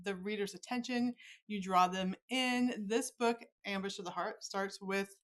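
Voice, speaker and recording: A woman's voice: medium pitch, a little slow. A woman hosting a podcast, chatting with a guest in an enthusiastic, gushing way. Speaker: podcast host interviewing an author. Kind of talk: chatty interview.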